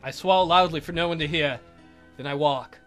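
A woman's voice: sits low, fairly quick. A woman reads story narration aloud as a let's player. She slips on words and corrects herself as she goes, with guitar music from the game playing behind her. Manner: stumbles and corrects misreadings. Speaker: let's player reading a visual novel aloud. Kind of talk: story narration read aloud with character voices.

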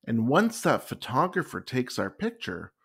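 A man voicing an eager, boastful young schoolboy in a children's storybook, excited and emphatic. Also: medium pitch, unhurried, creaky, breathy edge, full character voice. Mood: proud.

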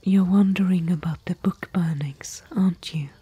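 A woman playing a thoughtful, bookish expedition companion in gentle, musing, whispery ASMR roleplay. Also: fairly low pitch, steady tone, moderate pace, no echo. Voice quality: breathy, whispery. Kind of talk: soft-spoken ASMR roleplay.

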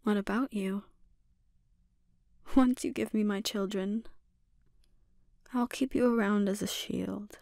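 A woman playing a menacing, seductive sorceress and obsessive mother in a breathy, often whispered ASMR roleplay, speaking deliberately and with quiet threat. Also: mid-range voice, steady tone, dry recording, studio quality. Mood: coolly threatening.

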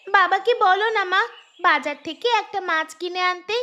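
A woman's voice: very high voice, moderate pace, bright, forceful tone. A woman voicing a whiny, spoiled young village girl who complains petulantly in a fairy-tale cartoon. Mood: complaining, pleading.

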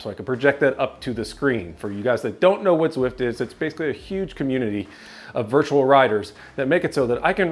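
Upbeat man giving a home tour. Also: medium pitch. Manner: upbeat, gestures with his hands while walking and talking to camera. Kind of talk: casual home tour walkthrough. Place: home basement rooms, indoors. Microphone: clip-on lapel mic.

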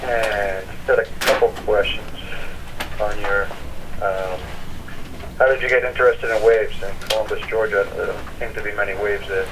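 A man from Boston speaking haltingly and informally on a call as a company representative. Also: fairly low pitch, steady tone. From Boston, United States. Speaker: company representative announcing an award. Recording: distant, noisy phone-call audio.

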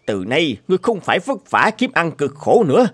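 An actor playing an old rice farmer in an animated folk tale, with emphatic, drawn-out delivery. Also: male voice, on the high side, breathy edge, dry recording, heavily acted. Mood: stern, gloating. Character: stern old rice farmer who turns remorseful. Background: music behind the voice.

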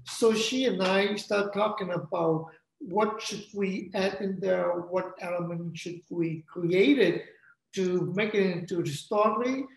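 Slow-talking Vietnamese-accented man. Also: sits high, vocal fry. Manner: halting, searching for words. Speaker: composer. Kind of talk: video call interview. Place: home room. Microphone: video call. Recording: echoey room, faint noise.

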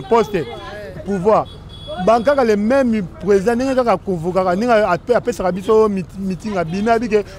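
Congolese guy giving his take on the street, pretty high voice. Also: darkish tone. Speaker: street interviewee. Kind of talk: animated opinion in street interview. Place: outdoors, busy street by a shopfront.

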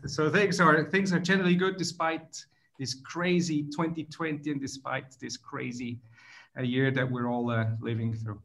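A man's voice: medium pitch, flat delivery, normal speed, a bit bright. A middle-aged man from Switzerland with a Swiss accent, answering interview questions thoughtfully over a video call, often restarting phrases. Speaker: guest author. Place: plain room indoors.

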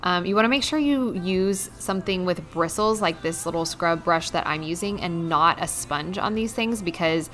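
A woman's voice: lowish voice, normal speed, hardly any pauses, fairly bright tone. A woman giving a casual, conversational voice-over about household chores as a vlogger. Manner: conversational, chatty. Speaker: home cleaning vlogger.

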